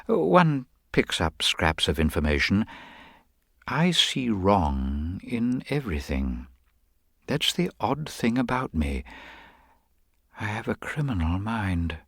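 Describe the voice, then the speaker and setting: A man's voice: on the low side, very animated, slow pace, vocal fry, fairly bright tone, dry recording, clean studio sound, voice pushed up, exaggerated voice. A man playing a mild, apologetic government investigator in a detective radio drama, hesitant, formal and precise.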